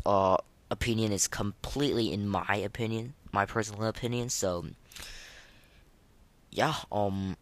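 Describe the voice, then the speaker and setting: Slow, halting casual gaming podcast host. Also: low-pitched, gravelly, no echo. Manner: halting, repetitive, slangy enthusiasm. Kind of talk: casual gaming commentary, rating voice lines.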